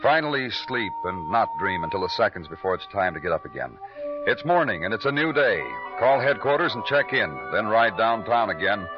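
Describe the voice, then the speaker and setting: Casting: a world-weary radio cop, blunt and poetic, with a widely swinging delivery over dramatic music. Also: male voice, on the low side, big pitch swings, a little fast. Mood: brooding. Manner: terse, brooding, poetic narration and blunt questioning. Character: world-weary male big-city police detective narrating his case. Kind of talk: 1950s radio crime drama acting. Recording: dull old radio recording with music.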